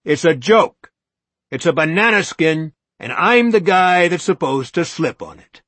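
Man playing panicky loud lawyer, high and husky, hectoring. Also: huge pitch swings, moderate pace, no echo, heavily acted. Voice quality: loud, hectoring, near screaming. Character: shrill panicking middle-aged male lawyer.

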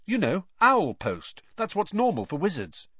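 A man acts out a teenage boy wizard in a storybook. He is cheeky and pointedly casual, defying adults with cool ease. His voice sits higher, lively and swinging, in a dry close recording. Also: moderate pace, breathy edge, dry recording, highs cut off, full character voice. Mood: defiant.

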